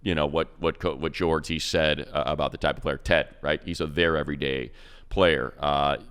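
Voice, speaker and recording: Man, very low voice, smooth tone, radio announcer, enthusiastic stat rundown, podcast chat. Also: steady tone, fairly bright tone. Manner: fast, enthusiastic stat-heavy breakdown. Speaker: radio play-by-play announcer, co-host.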